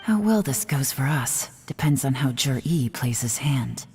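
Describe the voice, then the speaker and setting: An actress playing a cool, shrewd investigator in a video game, composed, wry and deliberate. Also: female voice, a bass voice, expressive, darkish tone, long reverb tail, faint music behind, full character voice. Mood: calm, calculating. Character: cool, shrewd female investigator, calmly in control.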